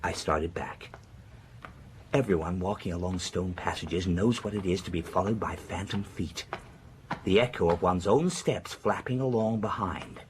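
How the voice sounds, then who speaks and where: A man's voice: low-pitched, normal speed, a little mellow, slight background noise, close to an everyday voice. An actor playing a frank, worldly professor in a radio drama, telling his story in a confiding, dramatic way. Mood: awed, haunted. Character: worldly, frank male professor recounting adventures.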